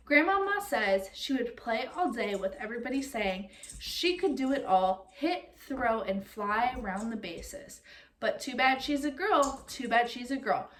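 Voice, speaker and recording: A woman reading a picture book aloud like a teacher, expressive and speaking directly to young listeners. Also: on the high side, unhurried, slight background noise. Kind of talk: read-aloud storytelling with comprehension questions.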